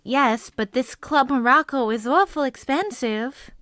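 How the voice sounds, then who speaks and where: A woman plays a sensible young woman who frets and pleads gently with her date. Her voice is high and her pace unhurried. The recording is close and dry, like old-time radio. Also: no room sound. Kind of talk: vintage radio comedy acting.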